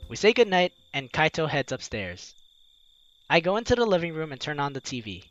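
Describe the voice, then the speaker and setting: Animated, higher-voiced man narrating a game. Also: brisk, muffled recording. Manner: expressive, acts out reactions. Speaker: let's play host. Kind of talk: reading a visual novel aloud with playful asides. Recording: music under the voice. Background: game music.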